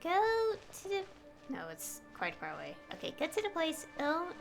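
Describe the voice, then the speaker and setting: A woman's voice: very high voice, huge pitch swings, normal speed, creaky. A woman casually commentating live gameplay as a streamer, rambling and trailing off in a giggly way. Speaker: game streamer. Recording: faint game music behind. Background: game music.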